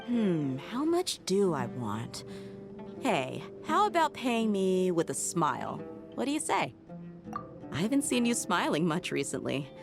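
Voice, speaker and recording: Cocky teasing merc girl in a video game, low voice, music behind her. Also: wildly swooping pitch, unhurried, creaky, exaggerated voice. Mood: playful. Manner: playful, teasing, self-assured. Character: confident, teasing young female mercenary bodyguard. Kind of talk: video game cutscene acting.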